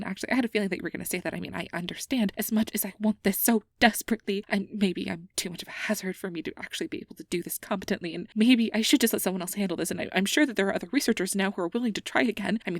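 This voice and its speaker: A woman playing a nervous, earnest young fantasy researcher in an ASMR roleplay, rambling and self-doubting, with soft breathy delivery. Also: mid-range voice, no echo, clean studio sound, natural voice. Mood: upset, defensive. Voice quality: breathy, often dropping to a soft whisper. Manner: flustered, stammering, over-explaining, self-doubting.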